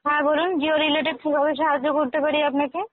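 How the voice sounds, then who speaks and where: Woman, very high voice, formal customer support, polite and firm, tinny telephone audio. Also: flat delivery, quick pace, no room sound. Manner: polite, firm, scripted professional phrasing. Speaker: customer care agent. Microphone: telephone line. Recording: tinny phone call audio.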